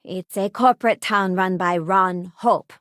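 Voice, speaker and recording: Actress as an unhurried, earnest young female space ranger. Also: medium pitch, a bit bright, no room sound, clean studio sound, close to an everyday voice. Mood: earnest, thoughtful. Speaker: voice actress playing a game companion. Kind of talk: scripted video game voice lines.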